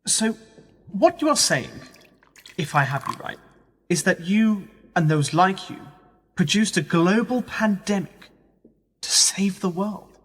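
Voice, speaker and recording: A man's voice: sits high, lively intonation, takes it slowly, pauses often, husky, in a large hall, exaggerated voice. An actor playing a sceptical young male journalist who probes and challenges in a radio play. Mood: probing, sceptical. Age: young adult. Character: young male journalist, sceptical, probing, indignant when used.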